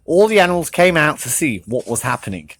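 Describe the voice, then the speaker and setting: A storybook reader narrating for children in an animated style. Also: mid-range voice, fairly quick, dry recording.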